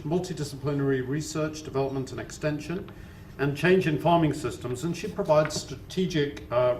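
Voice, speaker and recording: A man's voice: mid-range voice, a little mellow. A man introducing a seminar speaker, casual and hesitant with many ums. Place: lecture room. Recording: echoey room, faint background noise.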